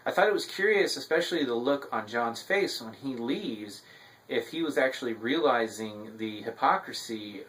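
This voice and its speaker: A man delivering a semi-scripted recap and review as commentary, with a halting delivery full of filled pauses. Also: mid-range voice, a bit bright, low-quality recording. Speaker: TV episode reviewer.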